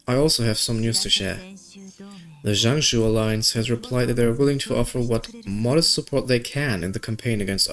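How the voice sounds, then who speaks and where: A man's voice: mid-range voice, fairly bright tone. A man reading video game story dialogue aloud and adding casual commentary, occasionally stumbling over words. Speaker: gaming video creator. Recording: music behind the voice.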